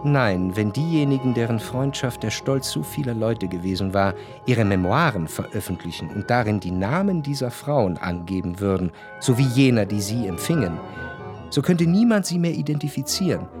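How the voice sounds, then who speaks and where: A man narrating literary prose for a radio drama in measured, deliberate phrases. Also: medium pitch, lively intonation. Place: radio studio. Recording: dry studio with music behind.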